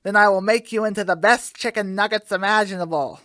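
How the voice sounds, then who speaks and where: A woman's voice: mid-range voice, fairly quick, a bit bright, no room sound, lightly acted. A menacing restaurant villain, played by a woman in comic radio sketch style. The delivery gloats theatrically over each threat, in a mid-range voice with a dry studio sound. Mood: gloating menace. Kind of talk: radio sketch comedy acting.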